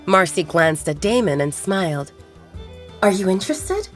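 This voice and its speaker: Female audiobook narrator, low register, very animated delivery, polished romance storytelling over music. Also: unhurried. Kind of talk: scripted romance fiction narration. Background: music bed.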